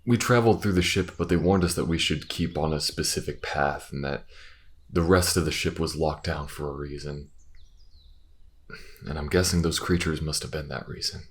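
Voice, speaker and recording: A man playing a gruff alien soldier who gets easily flustered and halting, in a scripted audio roleplay. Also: a bass voice. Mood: grim, wary. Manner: halting, stammering when flustered.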